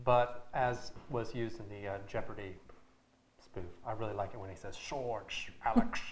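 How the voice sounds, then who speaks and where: A man's voice: on the low side, steady tone, moderate pace. An American man chatting as an interview guest, hesitant and a little self-deprecating. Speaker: theatre director, interview guest. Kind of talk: casual chat. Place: indoor room, television interview. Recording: echoey room with background noise.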